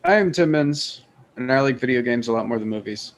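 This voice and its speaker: A man's voice: mid-range voice, animated, fairly quick. A man chatting casually as a panelist, recorded with background noise. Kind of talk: casual chat.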